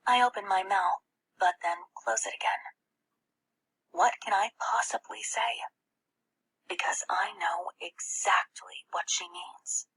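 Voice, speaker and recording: A woman reading a children's novel aloud in the first person, as an expressive audiobook narrator. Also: medium pitch, slow, gravelly, long pauses, breathy edge, whispered, rough recording. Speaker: audiobook reader.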